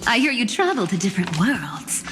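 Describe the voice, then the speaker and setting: A woman's voice: medium pitch, very animated, normal speed, a bit bright, long reverb tail, soft background music. A woman playing a sly, teasing trickster in a video game, with a theatrical, playful delivery.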